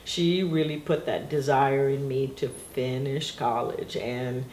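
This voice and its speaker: A woman's voice: rumbling low, fairly even intonation, slow pace, a little mellow. A middle-aged woman whose first language is Portuguese reminisces in a loose, rambling way, often breaking into laughter. The room sounds echoey and roomy. A faint hiss sits behind her voice. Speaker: interviewee. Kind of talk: casual reminiscing interview.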